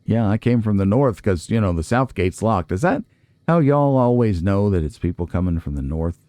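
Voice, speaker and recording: Low voiced guy doing a lets play, mellow n folksy, dry close sound. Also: expressive, normal speed, no echo. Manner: folksy, chatty, musing aloud to himself. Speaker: let's play host. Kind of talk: casual gameplay commentary.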